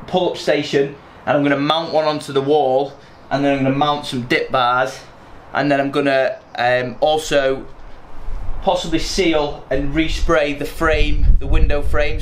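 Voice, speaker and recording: A man in his thirties, chatting casually through his plans with lots of fillers, filmed on a handheld camera in his garage gym. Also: on the high side. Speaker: vlogger. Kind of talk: casual vlog narration. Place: garage home gym, indoors. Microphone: handheld camera at arm's length. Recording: echoey garage with faint hum.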